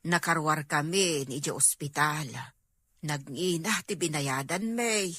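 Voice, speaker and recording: A woman's voice: sits low, measured pace, sharp tone, slightly rough, no echo, exaggerated voice. A woman playing an older, concerned Filipino mother in a drama. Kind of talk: comedy-drama acting.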